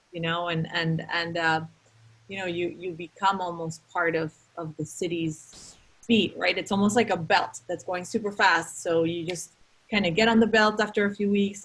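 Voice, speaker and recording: A woman from Colombia with two young kids, thoughtfully talking through her art and city life in a relaxed chat. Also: lowish voice, moderate pace, slightly rough, low-quality recording. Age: adult with children. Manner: reflective, thoughtful, many restarts. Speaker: consulting artist. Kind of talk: casual conversation.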